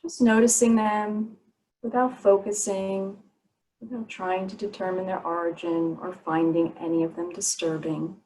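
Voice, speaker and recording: A woman leads a guided relaxation practice as a meditation teacher. Her mid-pitched voice gives soothing instructions in short, unhurried phrases, leaving pauses between them. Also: little pitch movement, a little mellow, poor audio. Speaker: yoga nidra meditation teacher.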